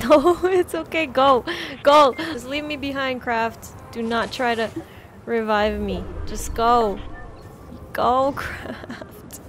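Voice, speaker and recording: Unhurried young woman gamer. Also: a little high, fairly bright tone, a little hiss or hum. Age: young adult. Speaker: video creator playing with teammates. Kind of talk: casual gaming chat.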